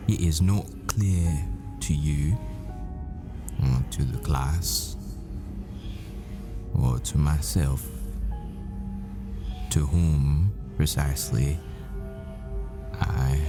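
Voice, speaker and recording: A man playing a tender, haunted professor boyfriend in an intimate audio roleplay, speaking in halting, wistful, confessional phrases. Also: extremely low pitch, fairly even intonation, exaggerated voice. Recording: music behind the voice. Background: music.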